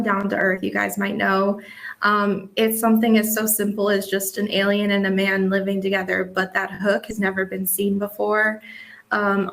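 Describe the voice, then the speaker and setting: A woman working as an editor gives informal advice in a question-and-answer session, often dropping in "like" and "you know". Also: mid-range voice. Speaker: webcomic editor giving advice. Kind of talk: casual explanatory Q&A talk.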